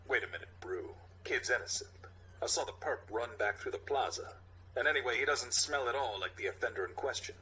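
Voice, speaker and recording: A man voicing a cool, deadpan male cop in a measured, low-key way for a mock cop show. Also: slight background noise, poor audio. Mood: calm objection. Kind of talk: mock cop show vignette read on a podcast.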